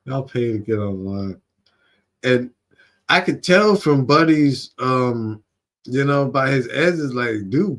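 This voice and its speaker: A man hosting a livestream with casual, rambling, slangy commentary from his home studio room. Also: mid-range voice. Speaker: livestream host.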